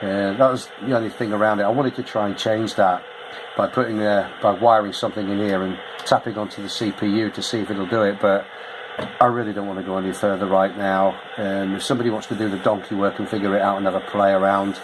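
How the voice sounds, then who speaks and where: A man with a fairly low voice talks through technical details in a casual, matter-of-fact way. It is picked up on a handheld camera with clear background noise. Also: little pitch movement, fast. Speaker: radio modifier demonstrating his work. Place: small wood-panelled indoor radio bench. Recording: noisy handheld camera recording.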